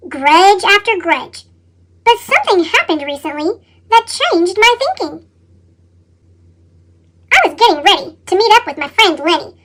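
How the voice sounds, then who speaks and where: Chipmunk storyteller: extremely high, bright, pitch-shifted voice, expressive and dramatic read-aloud for a children's picture book. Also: heavily acted. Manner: expressive, dramatic reading with growls and exclamations. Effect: sped-up chipmunk pitch effect.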